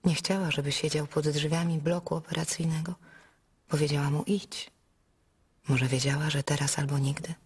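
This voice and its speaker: An actress playing a brisk, speculating storyteller in a radio play, with a husky voice. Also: female voice, low voice, flat delivery, exaggerated voice. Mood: brisk, speculative. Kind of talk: dramatic third-person narration.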